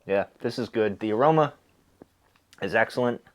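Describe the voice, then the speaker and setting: A man's voice: fairly low pitch, moderate pace. Stuffy nasal man chatting casually. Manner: rambling, easygoing, many fillers and restarts. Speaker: pipe smoking video host. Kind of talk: casual chat.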